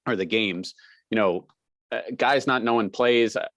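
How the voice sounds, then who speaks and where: Deep-voiced reporter, dry close sound. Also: male voice, normal speed, fairly bright tone, no echo. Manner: friendly, rambling questions with laughs. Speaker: sports reporter. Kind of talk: press conference question.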